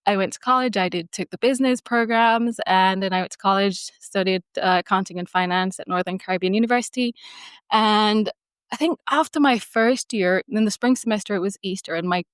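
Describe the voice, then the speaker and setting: A woman from Jamaica tells her story as a podcast guest, relaxed and reflective, laughing now and then. Her voice is smooth and resonant. The sound is close and dry, with no room around it. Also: medium pitch, a little fast, no room sound. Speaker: funeral director and embalmer, guest. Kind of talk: conversational interview storytelling.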